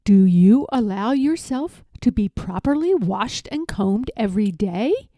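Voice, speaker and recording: A woman's voice: medium pitch, lively intonation, measured pace, mellow, no room sound, studio quality. A woman voicing an imperious, critical young queen and mother in a storybook, regal and stern. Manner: regal, declaring, questioning sternly.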